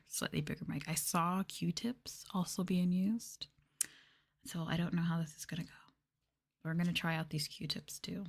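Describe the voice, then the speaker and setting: A woman talking in a soft, breathy voice that often drops to whispers, playful and teasing, chatting casually as an ASMR host close to the mic. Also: low-pitched. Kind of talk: soft-spoken ASMR, casual chat with viewers. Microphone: sensitive mic up close.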